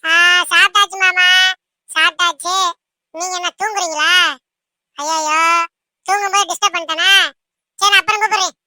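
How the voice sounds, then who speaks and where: Cartoon bride-to-be played by a woman: young, timid, fretful, extremely high and piercingly bright. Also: fairly even intonation, moderate pace, long pauses, no echo, exaggerated voice. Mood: timid, hesitant. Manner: hesitant, fretful, repeatedly seeks reassurance. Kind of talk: amateur cartoon dubbing.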